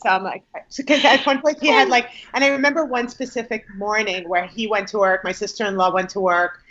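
A woman comedian telling stories in a casual interview, animated and dramatic. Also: mid-range voice, fairly quick, dull audio. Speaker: comedian guest. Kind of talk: casual storytelling chat.

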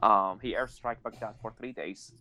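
A man tells his life story as an interview guest. His voice sits fairly low, and he speaks in an earnest, reflective way. He laughs easily as he talks. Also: moderate pace, bright, forceful tone. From Iraq. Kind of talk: personal life story in conversation.

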